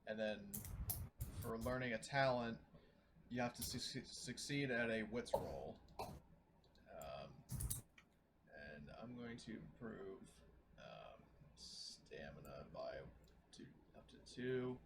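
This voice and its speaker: A man's voice: on the low side, very animated, slow, pauses often. A man in a casual post-game chat, explaining things in a hesitant, rambling way. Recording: noisy room, clear background hiss.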